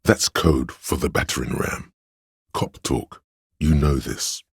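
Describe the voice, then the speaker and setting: A man voicing an erudite inner voice full of trivia in a video game, deep, gravelly and husky, narrating deliberately with dry pedantry. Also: steady tone, no echo, full character voice. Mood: measured, knowing. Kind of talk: measured game narration addressing the listener.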